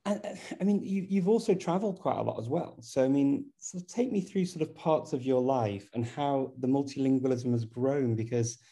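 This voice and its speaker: A man hosting a relaxed interview. His voice is deep-toned and mellow, with a gravelly fry running through it. He asks long, friendly questions and often stumbles and restarts mid-phrase. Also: fairly quick, hardly any pauses. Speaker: host. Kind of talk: conversational interview.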